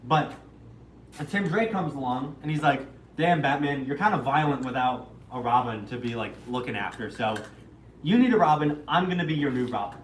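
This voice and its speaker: A man's voice: medium pitch, lively intonation, slight background noise. Talkative young man in an echoey room. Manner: enthusiastic, talkative, swears casually, acts out characters in quick asides. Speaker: livestream co-host. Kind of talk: casual chat. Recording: echoey room, mic at a distance.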